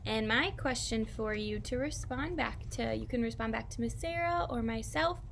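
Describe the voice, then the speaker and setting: A woman teacher reading aloud and talking directly to young children in simple, expressive language. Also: fairly high pitch, moderate pace, gravelly, a little hiss or hum. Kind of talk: children's read-aloud and lesson.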